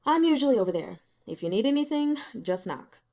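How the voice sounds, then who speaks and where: Woman reading as tired office boss guy, offhand and brisk, kinda wry, audiobook dialogue. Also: fairly high pitch, big pitch swings, mellow, pitched above the performer's own voice. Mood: tired, casual. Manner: casual, brisk, bluntly teasing.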